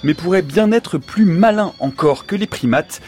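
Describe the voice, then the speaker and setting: A man's voice: high voice, normal speed. A middle-aged man hosting a radio science show from a studio, reading a dramatic intro and then interviewing with easy fillers. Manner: theatrical scripted delivery, then relaxed with fillers. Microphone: studio microphone.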